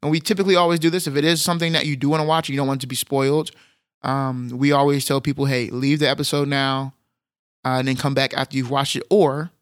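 Man, from Texas, podcast co-host, casual rambling chat, a bit deeper than usual, dry close mic. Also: flat delivery, quick pace, dry recording. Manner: laid-back, rambling, joking. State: voice deeper than normal in the morning.

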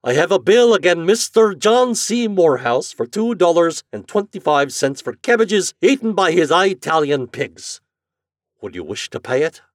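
A stubborn, grumbling Irish express agent acted out by a male narrator from a comic storybook. His folksy speech is emphatic and lifted high, swinging widely in pitch. The sound is close and dry. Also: big pitch swings, moderate pace, no room sound. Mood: cold, stubborn. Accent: stage Irish.

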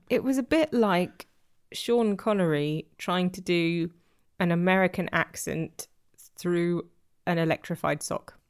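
A woman's voice: fairly low pitch, slow pace, pauses often, smooth. Wry woman in casual panel banter. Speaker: podcast panellist.

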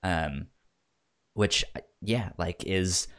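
A man with a low voice talks slowly as co-host of a casual film discussion. He stutters frequently, repeating first sounds and short words before he moves on. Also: a bit bright. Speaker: podcast co-host discussing films.

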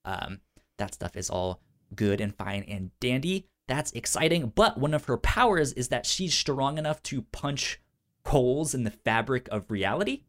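A man co-hosting a casual pop-culture news chat, stuttering on sounds and syllables, with halting, informal phrasing. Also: mid-range voice, very animated, a bit bright. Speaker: podcast co-host.

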